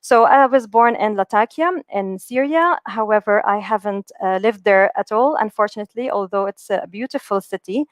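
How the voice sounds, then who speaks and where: A woman's voice: medium pitch, moderate pace, few pauses, no room sound. A woman in her thirties, raised in the UAE, giving an earnest motivational webinar talk with many fillers and restarts. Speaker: speaker.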